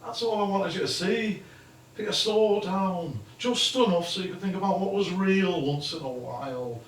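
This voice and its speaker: A man's voice: high-pitched, moderate pace, close to an everyday voice. Bloke acting guy in a stage play, lightish voice, blunt but regretful, roomy echo. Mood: pleading. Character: frank, regretful man from comedienne's past. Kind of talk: theatrical stage dialogue. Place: theatre stage. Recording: echoey stage, distant microphone.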